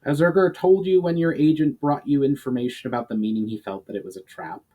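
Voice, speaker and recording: A man voicing a shrewd male elf in a storybook reading, measured, formal and self-assured. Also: mid-range voice, dark tone, pitched above the performer's own voice, natural voice. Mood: calm, explaining.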